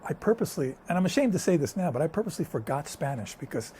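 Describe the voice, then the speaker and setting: A man's voice: a little high, racing, slight background noise. A man from the United States, a guest telling stories in a casual conversation. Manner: storytelling, animated and talkative.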